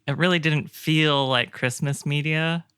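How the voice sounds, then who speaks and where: A podcast guest speaks in a smooth, clear voice at a middling pitch. The delivery is reflective and earnest, thinking ideas through aloud, and the recording is dry and close. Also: steady tone, a little slow, a bit bright, no room sound.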